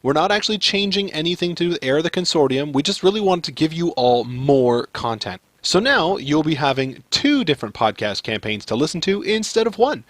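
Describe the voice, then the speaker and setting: A man's voice: a little high, big pitch swings, fairly quick, few pauses. A man speaking casually as a game master and podcast host, narrating a tabletop game.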